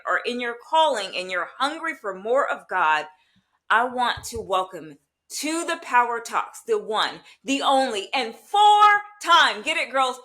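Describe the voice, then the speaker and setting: Bright-voiced woman hosting an interview. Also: on the high side, big pitch swings, a little slow. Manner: enthusiastic, hype-up introductions. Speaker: podcast host, coach.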